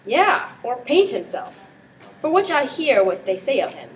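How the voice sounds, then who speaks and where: A teenage girl playing a teasing, excitable prince in an amateur Shakespeare performance. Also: high voice, measured pace. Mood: playful teasing. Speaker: student performer. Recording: distant mic with background noise.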